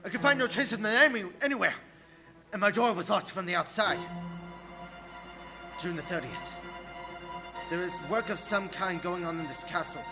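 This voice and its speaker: An actor playing an anxious English gentleman held captive, narrating his diary with earnest, suspenseful theatricality in a radio play. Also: high-pitched, moderate pace, long pauses. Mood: fearful, desperate. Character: Jonathan Harker, anxious English gentleman, dread-filled captive diarist. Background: music.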